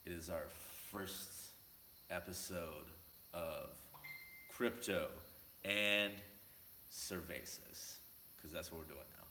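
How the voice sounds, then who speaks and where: A man with a very low voice hosts a casual livestream, joking loosely and calling out viewers in the chat by name. His tone is crisp and forward despite the depth. He speaks into a laptop mic in an echoey room with a faint hiss behind him. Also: slow pace, pauses often, sharp tone. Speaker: livestream host. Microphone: laptop mic, no headphones.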